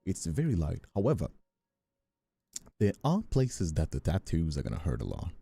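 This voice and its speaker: A man in his twenties who sounds older than his years, chatting casually on a livestream in a halting, rephrasing way. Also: sits low, huge pitch swings, vocal fry, warm tone, breathy edge. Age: 20s. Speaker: ASMR streamer and voice actor.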